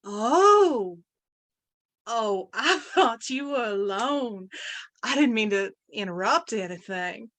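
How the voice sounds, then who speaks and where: A woman's voice: fairly high pitch, lively intonation, slow, fairly bright tone. A woman playing a competitive, defiant small-town housewife in an old-time radio western, emphatic and catty. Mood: sugary.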